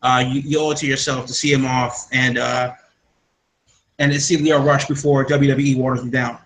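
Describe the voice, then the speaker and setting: A man's voice: mid-range voice, level tone, moderate pace, a bit bright, slight background noise, rough recording. A man hosting a casual podcast, rambling through news with many fillers and restarts. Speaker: podcast host. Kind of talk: casual wrestling news chat.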